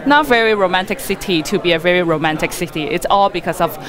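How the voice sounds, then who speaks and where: A woman's voice: fairly low pitch, fast, crowd noise. A woman being interviewed as a wedding photographer, talking conversationally in a rambling, chatty way with "like" as a frequent filler.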